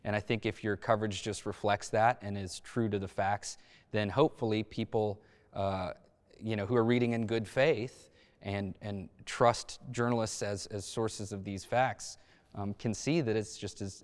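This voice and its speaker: Male panelist, lowish register, halting and thoughtful journalist delivery, recorded with long room reverb. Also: fairly even intonation. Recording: echoey hall-like reverb.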